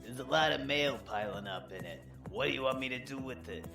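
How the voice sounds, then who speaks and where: An actor playing a casual, bemused half-orc helper, in an audio drama. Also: male voice, mid-range voice, lively intonation, fairly quick, gravelly, bright, forceful tone, soft background music.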